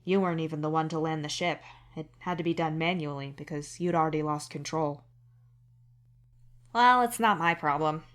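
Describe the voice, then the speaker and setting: Female story narrator for fiction podcast, lowish register, scripted second-person read. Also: level tone, fast talker. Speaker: podfic narrator and author.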